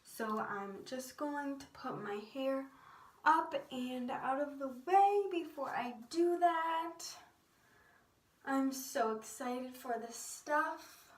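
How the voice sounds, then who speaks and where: Young woman vlogger, soft higher-pitched voice. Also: takes it slowly. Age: teens to young adult. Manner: gushing, peppered with "like". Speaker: beauty vlogger. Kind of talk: casual chatty vlog to viewers.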